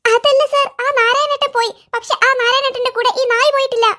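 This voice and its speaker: A woman doing a squeaky, shrill young helper. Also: fairly even intonation, fairly quick, pushed and bright. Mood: insistent.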